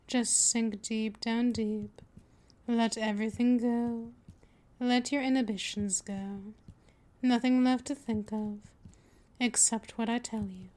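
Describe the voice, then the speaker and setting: Casting: actress as a possessive female vampire mistress for ASMR audio, higher-pitched, very unhurried, hypnotic and dominant. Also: steady tone, darkish tone. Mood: soothing, hypnotic. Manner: slow, coaxing, soothing, often drifting into whispers. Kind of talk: scripted ASMR roleplay, hypnotic monologue.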